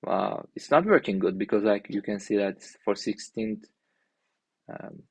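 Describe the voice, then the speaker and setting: Slow, gravelly man with a Turkish accent. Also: lowish voice, expressive, no echo. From Turkey. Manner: halting, thinking aloud with many fillers. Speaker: indie maker reviewing an app. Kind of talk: casual screen-share commentary.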